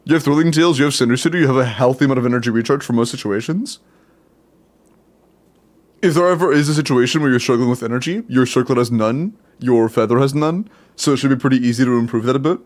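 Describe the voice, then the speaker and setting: A man's voice: medium pitch, quick pace. Man, young adult, stuffy nose, laid-back streamer, chatty with fillers. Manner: relaxed, chatty, many fillers and laughs. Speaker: streamer giving account advice. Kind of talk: casual live-stream commentary.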